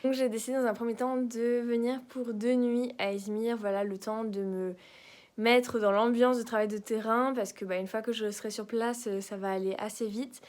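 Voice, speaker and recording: A woman's voice: fairly high pitch, steady tone, normal speed, darkish tone. A young woman, a doctoral student speaking French as a second language, talking casually in a video diary from a hotel room, hesitant and searching for words with light laughs. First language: not French.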